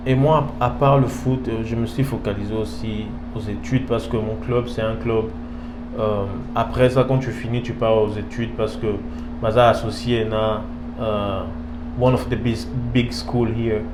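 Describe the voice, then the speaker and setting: A man's voice: on the low side, flat delivery, a little slow. Male interview guest, Congolese French accent. Casual, hesitant, repetitive delivery that switches languages, recorded in a reverberant room with noticeable hum. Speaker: interview guest, professional footballer. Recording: echoey room, clear background hum.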